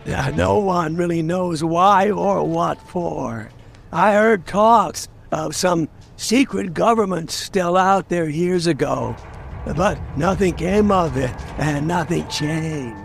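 An actor playing a weary, defensive, ancient salesman in an audio drama, with a gravelly voice. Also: fairly high pitch, takes it slowly, a little hiss or hum. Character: jaded, centuries-old salesman, thick-skinned and guilty.

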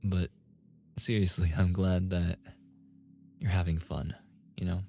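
A man's voice: low-pitched, level tone, a little slow, creaky, mellow, a little hiss or hum. A man playing a playful, easily flustered young gamer boyfriend in a casual, intimate ASMR roleplay. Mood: cocky, teasing. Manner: casual, intimate, with chuckles and sighs.

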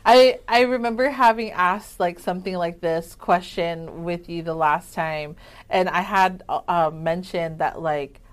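A woman's voice: fairly low pitch, a little slow. A woman hosting a podcast in casual interview chat, bubbly and full of eager agreement. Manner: bubbly, affirming, lots of yeses. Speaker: podcast host.

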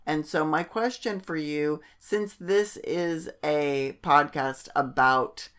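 A woman's voice: low-pitched, takes it slowly, fairly bright tone. A woman co-hosting a podcast in casual, conversational talk with her fellow host.

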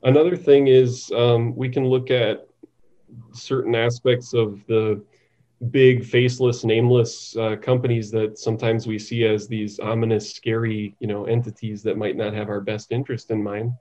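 A man speaking as an interview guest, thoughtful and halting, often repeating words as he talks conversationally. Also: mid-range voice, little pitch movement, mellow. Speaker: interview guest, psychiatric nurse practitioner.

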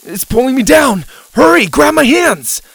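A blunt, hot-tempered storybook schoolboy, acted out by a man. He is snappy and excitable, with pitch that jumps about a great deal, recorded dry. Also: measured pace, creaky, dry recording. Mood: panicked. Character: sarcastic, hot-tempered schoolboy who turns panicky in trouble.

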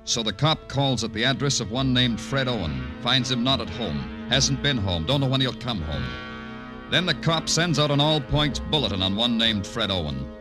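An actor playing a weary, hardboiled police detective, brooding and poetic in the style of 1950s radio noir. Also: male voice, medium pitch, lively intonation, normal speed, lightly acted. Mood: grimly poetic. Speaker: detective narrator and lead. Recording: old radio recording with music behind.

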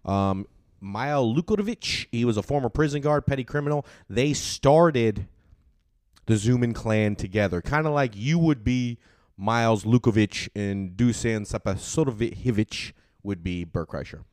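A man's voice: medium pitch, a little slow, a little mellow, no room sound, clean studio sound. A man hosting a podcast, talking in a loose, rambling way with lots of fillers and casual swearing. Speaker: podcast host.

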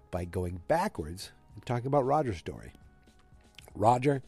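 Male podcast host, fighting a cold, dark and low, casual and digressive. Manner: rambling, digressive, self-correcting. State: has a cold. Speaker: podcast host recapping a TV episode. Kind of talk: casual solo recap.

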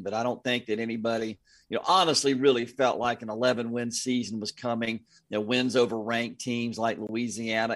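A man's voice: mid-range voice, no room sound. Male sports announcer in interview, dry sound. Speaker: radio play-by-play announcer, interview guest.

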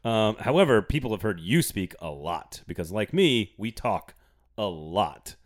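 A man's voice: fairly low pitch, lively intonation, a little slow. A man hosting a casual sports podcast, playful and teasing, reading an ad with gusto. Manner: playful, teasing, reads ads with enthusiasm. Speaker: podcast host.

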